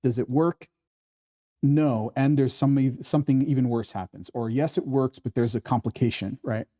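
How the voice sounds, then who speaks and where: A man's voice: medium pitch, steady tone, moderate pace, mellow. A man hosting a casual workshop discussion, explaining things conversationally and checking in with his listeners. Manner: acts out quoted dialogue while explaining, often checking with "right?". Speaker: workshop host leading a screenplay discussion.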